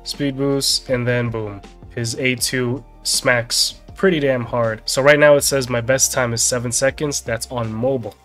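A man's voice: medium pitch, steady tone, a little slow, few pauses, a bit bright. A man in his thirties, slightly nasal as he gets over a sickness, giving a casual game walkthrough. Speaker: game guide video host. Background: background music.

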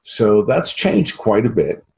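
An American man in his fifties giving a halting product pitch as an interview guest on a video call from a home office. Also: very animated, creaky, a little mellow. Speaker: sales executive, interview guest. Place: small home office, indoors. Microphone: computer video call.

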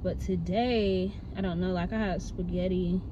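A woman's voice: fairly low pitch, steady tone, normal speed. Chatty young woman vlogging while driving. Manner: rambling, filler-heavy, chatty. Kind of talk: casual chat while driving. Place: in a car on the road. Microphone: phone mounted in the car. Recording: dull phone audio, loud road noise.

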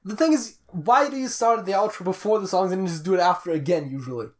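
A man's voice: sits high, fast. A young man co-hosting a podcast with dry, nitpicking casual banter in a small room. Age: young adult. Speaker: podcast co-host. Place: small attic room, indoors.

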